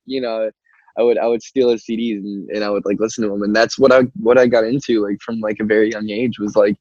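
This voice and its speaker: Young american guy, lowish voice, rambly casual interview chat, kinda muffled and roomy. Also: little pitch movement, fast, a little mellow. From Albany, New York, United States. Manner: rambling, peppered with "like" and "you know", laughs easily. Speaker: band singer, interview guest. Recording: muffled, roomy call-like audio.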